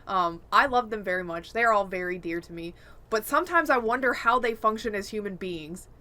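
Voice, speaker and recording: A woman co-hosting a gaming podcast, chatting casually and telling stories in an animated, exasperated way, acting out bits of dialogue. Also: mid-range voice, brisk. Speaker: podcast co-host.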